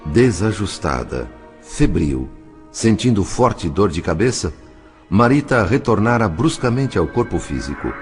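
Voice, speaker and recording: A Brazilian man with a very low voice narrates a scripted drama in literary style. His intonation swings widely and expressively, with clear music playing underneath. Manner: literary, measured dramatic storytelling.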